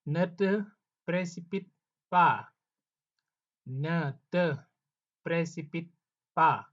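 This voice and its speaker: Male teacher, slow deliberate drilling delivery, slightly raised voice, dull lo-fi sound. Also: on the high side. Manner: says each phrase slowly several times, then explains quickly in asides. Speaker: language teacher. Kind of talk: slow, repeated phrase drills with explanations. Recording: dull lo-fi recording.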